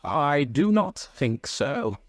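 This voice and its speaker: A man's voice: medium pitch, unhurried, dry recording, lightly acted. A man voicing a stately, imperious duke in a classic story, with a grave, sonorous manner. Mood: guarded. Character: stately, stony, imperious aristocratic male duke.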